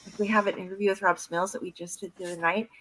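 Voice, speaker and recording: A woman's voice: medium pitch, fairly even intonation, rapid-fire, a bit bright, poor audio. A woman hosting a casual video-call chat about storytelling, rambling with fillers and restarts. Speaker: host.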